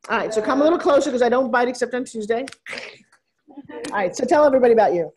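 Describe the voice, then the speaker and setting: A woman business coach on stage at a live seminar, teasing and bossing a guest with quick interruptions. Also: a little high, crowd noise. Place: event stage with audience.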